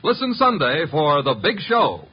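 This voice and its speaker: Animated male old-time radio announcer. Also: on the high side, unhurried, breathy edge. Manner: polished, theatrical. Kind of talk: 1950s radio announcing, scripted narration. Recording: muffled old radio broadcast. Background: music.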